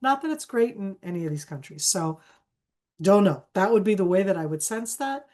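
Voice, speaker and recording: A woman from Israel who has a cold, talking as a panel guest at an ordinary pitch. She is emphatic, repeats key phrases for weight, and restarts her sentences often. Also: lively intonation, moderate pace, a little mellow. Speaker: guest speaker on a webinar panel. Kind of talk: conversational analysis in an online Q&A.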